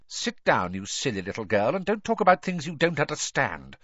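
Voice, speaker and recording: A man's voice: a little high, huge pitch swings, normal speed, a bit bright, no room sound, highs cut off, pitched above the performer's own voice, exaggerated voice. A man voicing a smug, prying woman tabloid journalist in an audiobook, gushing, insincere and condescending. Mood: cold, condescending.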